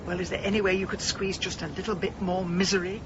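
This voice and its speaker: An actress playing a sharp-tongued, exasperated middle-aged woman in a radio drama, dry, blunt and sardonic. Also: medium pitch, little pitch movement, quick pace, highs cut off, very noisy, natural voice. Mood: weary, self-pitying.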